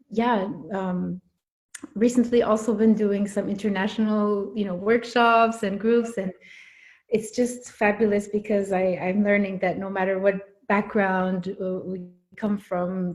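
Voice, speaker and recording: Woman from Morocco, parent coach, interview guest; thoughtful, halting delivery. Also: mid-range voice, darkish tone. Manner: thoughtful, with fillers and repeated words. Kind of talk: casual interview conversation.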